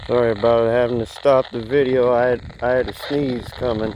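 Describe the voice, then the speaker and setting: A man's voice: medium pitch, fairly even intonation, a little fast. Folksy man chatting over radio noise. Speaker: CB radio hobbyist presenting a video. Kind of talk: casual chat to viewers about the radio. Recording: clear background noise, radio static. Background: CB radio static and chatter.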